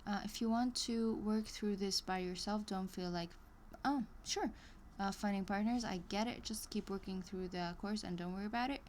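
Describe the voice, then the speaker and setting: A woman's voice: medium pitch, moderate pace, hardly any pauses, darkish tone, slight background noise. A woman live streaming as she reads an online course aloud, breaking off into chatty asides and small laughs. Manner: hesitant, many ums and restarts. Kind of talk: casual live-stream reading with commentary.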